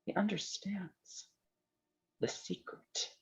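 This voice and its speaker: A woman's voice: slow pace, close to an everyday voice. Woman acting intense gothic storyteller, muffled audio. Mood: suspenseful. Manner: suspenseful, pointed, shifting into hushed tones. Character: Mary Shelley, intense, teasing, theatrical woman storyteller. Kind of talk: dramatic staged reading of a gothic tale.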